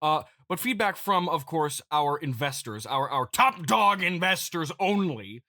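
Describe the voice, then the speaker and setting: Male comedy host, bright, forceful voice in a dry recording; plays a petty, self-important company boss, rambling through an improvised address. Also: fairly high pitch, animated, dry recording. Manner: mock-pompous, halting and rambling with repeated phrases. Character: smug, petty billionaire CEO boasting to investors. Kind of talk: improvised comedic monologue, mock-corporate address.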